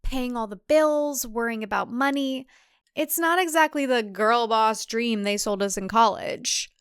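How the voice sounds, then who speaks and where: Woman acting city career woman, wry, conflicted, candid, highish clear voice, dry close sound, audiobook dialogue. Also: measured pace, fairly bright tone, no echo. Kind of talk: comic thriller audiobook character voice.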